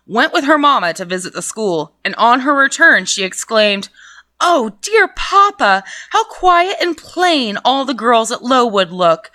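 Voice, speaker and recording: A woman's voice: fairly high pitch, pushed and bright. A woman reading aloud the lines of a pompous, stern male clergyman in a storybook reading, with a preachy, moralizing manner. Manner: sanctimonious, moralizing.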